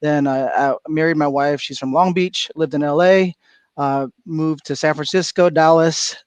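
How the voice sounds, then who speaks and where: A middle-aged man from Florida, a guest on a livestream, telling stories in an animated way and laughing often. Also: a little high, normal speed, clear, resonant tone, no echo. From Florida, USA. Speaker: guest speaker. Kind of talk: casual livestream chat. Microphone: video call.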